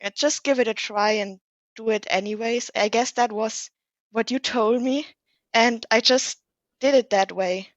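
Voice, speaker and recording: A woman's voice: fairly high pitch, fairly even intonation, unhurried, dry recording. Young German-native woman, dull lo-fi sound. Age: teens. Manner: modest, slightly hesitant telling of her own experience. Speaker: guest. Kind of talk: casual conversational interview.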